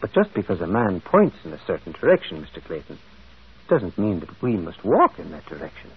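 A male actor playing a gentle, shrewd priest detective in a radio mystery, musing and hesitant, often repeating words. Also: sits low, wildly swooping pitch, brisk, gravelly, breathy edge, heavily acted. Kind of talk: vintage radio mystery acting. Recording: dull, muffled old radio recording.

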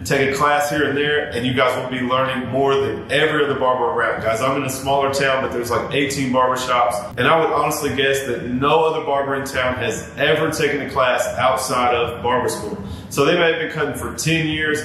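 Earnest barber talking straight to camera. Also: male voice, fairly even intonation, a little fast, hardly any pauses, pushed and bright. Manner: earnest, repetitive, keeps saying guys. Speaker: barber and YouTuber. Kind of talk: casual advice talk to camera. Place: home barber studio, indoors. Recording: very echoey room with faint rumble.

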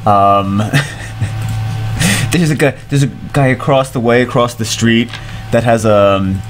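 A man in his thirties with a congested, stuffy voice, chatting casually and excitably as a gaming streamer while he rambles and repeats himself, recorded on a headset in a small room at home. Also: medium pitch, slow, faint music behind.

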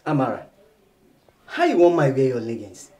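Mellow-voiced, exasperated Nigerian husband, played by a man. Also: mid-range voice, sing-song, normal speed, lightly acted. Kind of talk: film acting in Nigerian Pidgin.

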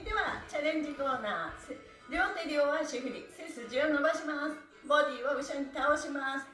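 A woman's voice: high voice, fairly bright tone. A middle-aged woman leading a live stretch class, cheerful and laughing as she chants the counts. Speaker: stretch instructor. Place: small room at home, on a floor mat. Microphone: far camera. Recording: echoey room, voice from far off.

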